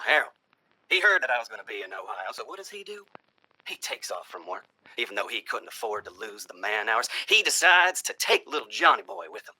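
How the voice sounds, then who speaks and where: A man acts out a genial yet menacing presidential candidate in a satirical horror monologue. The voice is very bright and forceful, swinging animatedly from rhetorical appeals to hushed asides. It has a dry, old-time radio treatment. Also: a little high, no room sound, full character voice, low-quality recording. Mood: persuasive, dramatic. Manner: folksy, rhetorical, dramatic pauses and hushed asides. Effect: vintage old-time radio treatment. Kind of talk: theatrical campaign stump speech, satirical horror monologue.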